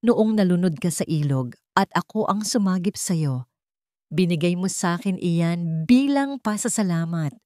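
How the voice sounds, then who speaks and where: A Filipino woman voicing a tender young man in love, gentle and earnest, in a romantic audio story. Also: on the low side, lively intonation, a little slow, no echo, studio quality. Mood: wistful.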